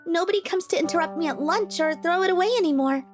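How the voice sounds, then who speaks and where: Woman voicing a sweet junior high girl. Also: fast. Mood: grateful. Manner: sweet, earnest, sometimes blunt. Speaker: voice actor. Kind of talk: manga dub voice acting. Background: background music.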